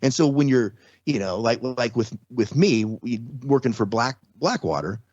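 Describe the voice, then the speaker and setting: A man's voice: mid-range voice, animated, moderate pace, darkish tone, dry recording, dull audio. A man talking casually as an interview guest, explaining things with repeated, restarted phrases and frequent rhetorical check-ins. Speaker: podcast guest, trauma survivor and former security contractor.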